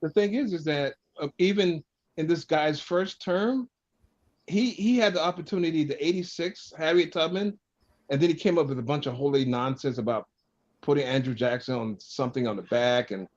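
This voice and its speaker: A man's voice: a little high, moderate pace, dull audio. A man arguing in an impassioned, insistent way in a panel discussion, often repeating himself. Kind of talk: heated political discussion.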